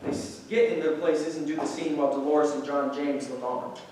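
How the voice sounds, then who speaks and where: A man's voice: a little high, steady tone, brisk, a little mellow, a little hiss or hum. A man reading stage directions as scripted narration for a staged play reading. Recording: distant mic in very echoey room.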